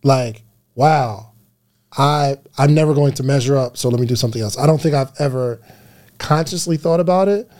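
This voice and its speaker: A man from New Jersey with a New Jersey accent, telling stories as a podcast guest in a relaxed way with many restarts. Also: medium pitch, moderate pace. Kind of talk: casual podcast conversation.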